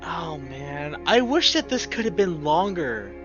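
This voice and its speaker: Male let's player, higher-pitched and unhurried, effusive casual commentary, slightly lo-fi with music behind. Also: very animated. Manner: effusive, exclamatory, with drawn-out words. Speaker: let's play host. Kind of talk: casual emotional gameplay commentary. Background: game music.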